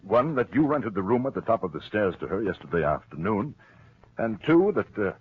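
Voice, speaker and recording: A low, husky male voice from an old radio crime drama, a patronizing husband and official. His delivery swings widely in pitch while staying urbane and condescending. The recording is muffled, like a vintage broadcast. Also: sing-song, a little fast. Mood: smooth condescension.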